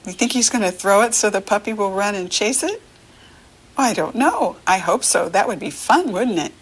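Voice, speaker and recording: Woman, American accent, breathy husky voice, animated swoops, encouraging picture-book teacher for little kids. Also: medium pitch, moderate pace, low-quality recording. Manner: encouraging, asks questions and leaves room to answer; animated, sing-song child-directed talk. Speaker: children's reading teacher. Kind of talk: read-aloud picture-book lesson for young children.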